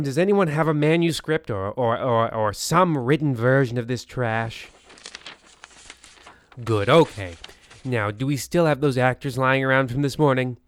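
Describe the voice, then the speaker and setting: Guy doing a snooty exasperated old-hand radio horror host, mid pitch, theatrical and pompous. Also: no room sound, studio quality, close to an everyday voice. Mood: exasperated, scheming.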